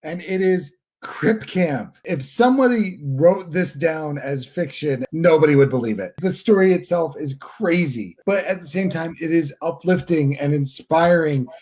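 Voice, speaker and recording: A man gushes about a film on a podcast with open enthusiasm. His voice sits high but sounds soft and mellow. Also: animated, measured pace, few pauses. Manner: enthusiastic, gushing. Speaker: podcast co-host. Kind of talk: casual film review chat.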